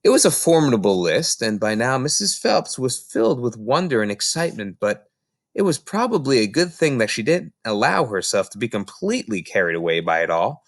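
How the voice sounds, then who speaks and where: Lively, expressive male storybook reader. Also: mid-range voice, dry recording, studio quality. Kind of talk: expressive audiobook narration of a children's novel.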